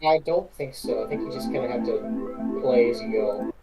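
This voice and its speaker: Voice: mid-range voice, normal speed, over loud music. A laid-back co-host in a casual chat, loosely profane while picking parts for a story reading.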